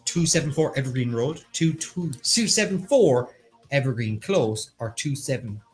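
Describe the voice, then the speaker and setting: A man's voice: medium pitch, expressive, normal speed, faint music behind. An Irish man co-hosting a pub quiz, reading questions and options aloud with quips. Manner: playful, reads questions aloud, quips and sings snatches. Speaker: quiz host. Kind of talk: livestreamed pub quiz banter.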